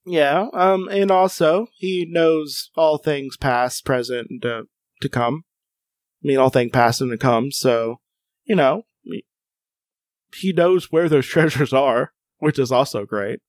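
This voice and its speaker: A man with a notably high voice chats casually on a podcast, teasing and rambling through lots of fillers. The recording is dry and close, with no room sound. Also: slow pace, no room sound. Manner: playful, rambling with many fillers. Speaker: podcast co-host. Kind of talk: casual chat.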